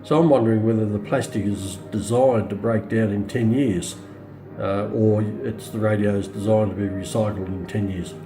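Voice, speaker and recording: A middle-aged man with an Australian accent giving a casual, unscripted product demonstration, pausing often as he goes. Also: lowish voice, fairly even intonation, over music. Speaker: hobbyist radio reviewer. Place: indoors on a hard countertop, small room. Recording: roomy echo, faint background noise.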